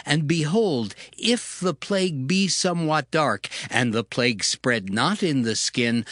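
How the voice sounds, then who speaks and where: A man reading scripture as a measured audio Bible narration. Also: medium pitch, a bit bright, dry recording, clean studio sound. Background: background music.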